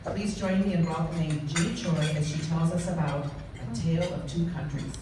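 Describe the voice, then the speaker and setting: A woman reading a formal introduction of a guest speaker to a club, steady as she reads out credentials. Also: low-pitched, moderate pace, very noisy. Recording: echoey room, recorded from far off.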